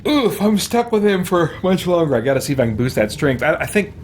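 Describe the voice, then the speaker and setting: A man's voice: fairly high pitch, very animated, brisk, slight background noise. A man giving casual video game commentary, thinking aloud as the host of a let's play. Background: loud game music.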